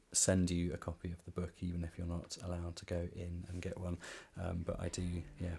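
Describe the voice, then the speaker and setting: A man, a musician, chatting casually between songs in a hesitant, low-key way. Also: darkish tone. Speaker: musician presenting a concert.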